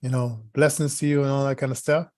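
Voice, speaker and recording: A man hosting a casual radio talk show, speaking haltingly and often repeating words and phrases as he goes. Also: medium pitch, flat delivery, darkish tone, dry recording, clean studio sound.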